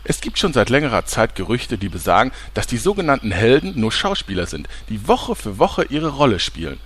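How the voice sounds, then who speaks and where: A man's voice: mid-range voice, sing-song, a little fast, fairly bright tone. A man playing a pompous, sleazy, easily flustered TV reporter in an audio parody, with dramatic announcer delivery. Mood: sensational, dramatic.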